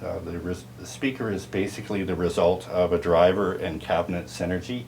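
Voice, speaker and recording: A man giving an informal, technical product tour in a halting, explanatory way. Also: deep voice, steady tone, a little mellow, a little hiss or hum. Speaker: audio dealer and turntable restorer giving a product tour.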